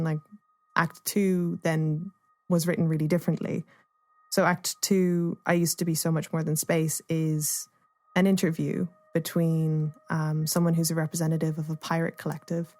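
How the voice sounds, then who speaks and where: A woman's voice: sits low, darkish tone, dry recording. A woman speaking thoughtfully and tentatively as an artist explaining their work in a reflective interview. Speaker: artist and playwright being interviewed.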